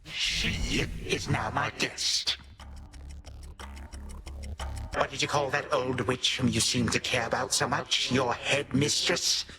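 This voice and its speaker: Man playing a slow, imperious old god villain. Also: medium pitch, fairly even intonation, background noise, close to an everyday voice. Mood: cold, menacing. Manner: slow, grandiose, archaic phrasing, sneering condescension. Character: imperious, menacing old male Titan god of time. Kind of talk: dramatic video game villain acting.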